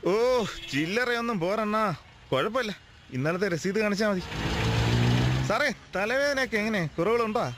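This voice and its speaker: An actor playing a talkative, familiar driver with a chatty, colloquial manner in a radio drama. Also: male voice, high voice, lively intonation, normal speed. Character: talkative, familiar male driver, irritable on the road. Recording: vehicle noise behind voice. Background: moving vehicle ambience.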